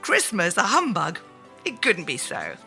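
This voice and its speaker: A woman voicing a cheerful, warm-hearted young man, hearty and earnest, in a storybook reading. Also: fairly low pitch, wildly swooping pitch, a little slow, vocal fry, faint music behind, exaggerated voice.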